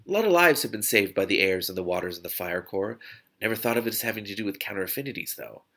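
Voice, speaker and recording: A man reads fiction aloud in an expressive, animated way. His voice is bright and forward, with a creaky edge, and he is exuberant in his commentary. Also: fairly low pitch, fast. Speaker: host reading a novel aloud with live reactions. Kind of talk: expressive book reading with excited asides.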